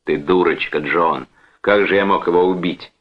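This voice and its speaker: A man's voice: deep voice, moderate pace, dull audio. Man acting a blustering, sneering bully brother-in-law. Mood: dismissive. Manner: blustering, threatening, sarcastic.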